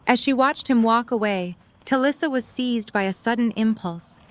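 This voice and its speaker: A woman's voice: on the high side, moderate pace. A woman reading scripted third-person story narration for an audio drama.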